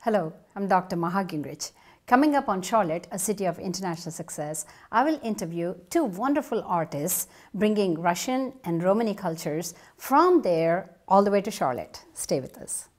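Middle-aged female TV host; animated, eager, lapel mic in a home set. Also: fairly low pitch, normal speed. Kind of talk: TV interview. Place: furnished living room.

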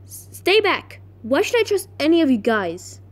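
A woman with flu voicing a distrustful, defiant young female survivor in an amateur animated movie audition. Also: high-pitched, normal speed, pitched above the performer's own voice. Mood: suspicious, defiant. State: sick with flu, voice cracking.

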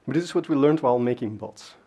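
A man's voice: medium pitch, quick pace, warm tone, husky. A man, giving a casual technical conference talk on a stage, full of fillers and asides. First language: Dutch. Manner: conversational, many fillers and asides. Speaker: conference speaker. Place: large dark conference stage.

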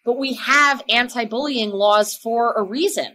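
A woman's voice: a little high, a little slow, sharp tone. A middle-aged woman playing a protective, indignant mother who speaks insistently in a dramatized school meeting from home.

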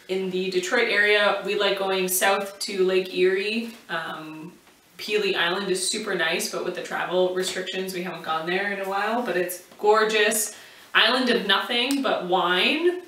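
A woman in her thirties, co-hosting a sailing vlog at home, chatty and quick to laugh. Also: medium pitch, fairly bright tone. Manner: chatty, laughs easily, playful. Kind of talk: casual chat. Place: living room at home, indoors. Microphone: far camera. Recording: echoey room, mic at a distance.

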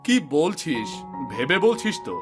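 A man's voice: fairly high pitch, very animated, unhurried. A man voicing an older village fisherman in a cartoon, familiar and persuasive in manner, shrewd by temper. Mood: skeptical. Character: older village fisherman and husband, skeptical, slyly scheming. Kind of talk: cartoon dialogue acting. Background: music.

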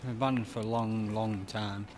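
A man's voice: fairly low pitch, steady tone, moderate pace, a little mellow, a little hiss or hum. A man, giving offhand, mumbled commentary while filming inside a large empty factory hall on a handheld camera. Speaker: explorer filming. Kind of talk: casual walkthrough commentary. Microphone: handheld camera mic.

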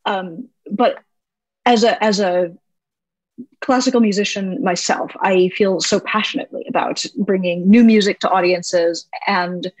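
A woman's voice: medium pitch, breathy edge, dry recording, poor audio. A woman in a mid-range voice hosts an interview, leaning into her questions with eager curiosity and plenty of conversational fillers. Her voice sits close and dry, with almost no room sound. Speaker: podcast host. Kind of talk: casual interview chat. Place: home.

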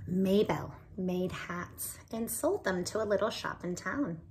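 A woman's voice: lowish voice, slight background noise. A woman in her forties, a teacher reading a picture book aloud to children from a small room at home, speaking expressively to her friends. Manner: expressive, slow, talks to listeners as friends.

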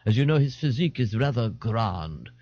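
A pompous gentleman with an affected posh London accent. He enunciates haughtily, as in a comic audiobook. His pitch rises and swoops in a dry recording. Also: very animated, normal speed, dry recording, lo-fi sound. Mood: haughty. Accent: posh London English. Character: pompous fake-posh gentleman, an assumed telephone voice. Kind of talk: audiobook character voice.

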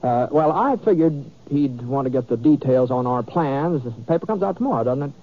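A bashful, easygoing cowboy played by a man in a radio western. He stammers and hedges in a folksy drawn-in way, his pitch moving about a lot. The sound is tinny and narrow, like an old 1950s broadcast. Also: lively intonation, natural voice. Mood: flustered. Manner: hesitant, halting, folksy.

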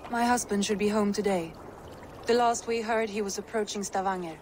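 An actress playing a composed, frank Viking noblewoman in a video game, measured and formal. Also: medium pitch, steady tone, darkish tone, a little hiss or hum, close to an everyday voice. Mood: dry, frank. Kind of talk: dramatic game cutscene acting.

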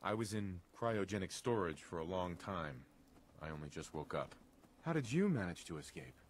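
A weary survivor husband in a video game, very low in pitch and earnest. His delivery moves around a lot, with some noise behind him. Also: lively intonation, moderate pace. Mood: grave. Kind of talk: video game dialogue acting.

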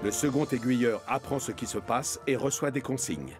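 A man's voice: medium pitch, normal speed. A man narrating a scripted children's story. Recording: music behind the narration.

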